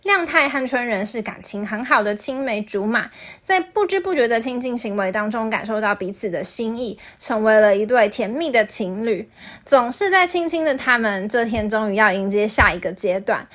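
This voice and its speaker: A woman's voice: on the high side, lively intonation, moderate pace, a bit bright. A woman narrating a scripted review as the host of a video.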